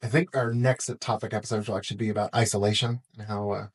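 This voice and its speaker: A man's voice: lowish voice, fairly even intonation, quick pace, slightly rough. A middle-aged American man from Texas talking casually as a podcast guest in an interview. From Texas, United States.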